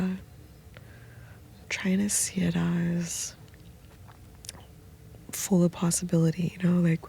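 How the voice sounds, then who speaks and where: Woman, whispering, soft rambling, flat even tone, small sound booth. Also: on the low side, vocal fry, husky. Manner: soft whispering, meandering and intimate. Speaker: host. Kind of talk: whispered late-night rambling.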